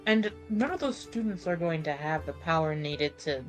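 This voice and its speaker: A woman's voice: low voice, animated, normal speed, soft background music. A woman casually talking through events as a player in a tabletop roleplaying game.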